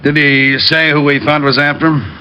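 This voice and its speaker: A man playing a gruff, irritable police lieutenant in an old radio detective drama. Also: on the high side, steady tone, normal speed, bright, forceful tone, lo-fi sound, slight background noise. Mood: businesslike. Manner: blustering, irritable. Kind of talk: 1940s-50s radio detective drama acting. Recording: old radio show recording.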